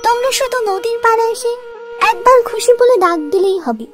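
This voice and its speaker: Very high-pitched little girl. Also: brisk, loud music behind the voice. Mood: earnest. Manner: childlike, earnest. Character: sweet innocent little village girl. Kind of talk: Bengali children's cartoon voice acting.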